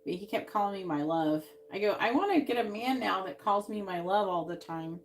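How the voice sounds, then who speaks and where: A woman reading tarot cards on a live stream, musing out loud and trailing off with sighs. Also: medium pitch, animated, brisk, slight background noise. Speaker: tarot reader.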